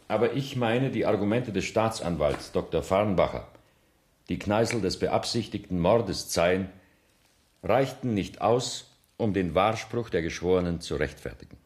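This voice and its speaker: An actor playing a grave, conscientious senior judge in a radio play, speaking formally in a measured, earnest way. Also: male voice, fairly low pitch, lightly acted. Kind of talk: radio play acting in standard German.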